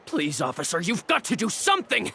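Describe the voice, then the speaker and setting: A man's voice: high-pitched, huge pitch swings, brisk, creaky, pushed and bright, slight background noise, heavily acted. An actor playing a sleazy, cowardly male thug who whines and blusters. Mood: whiny. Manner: whiny and bluster-filled.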